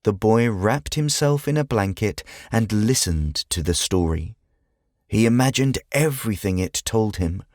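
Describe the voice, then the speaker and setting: A man reading a scripted children's audiobook as narrator, in a measured, expressive storytelling way. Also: on the low side, no room sound, studio quality.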